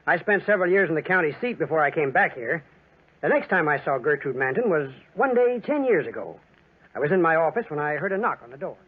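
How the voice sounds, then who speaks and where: A man's voice: a little high, very animated, quick pace. An actor playing an earnest, protective small-town man with an urgent, emphatic delivery in 1940s radio drama style. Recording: narrow, raspy-sounding old 1940s radio recording.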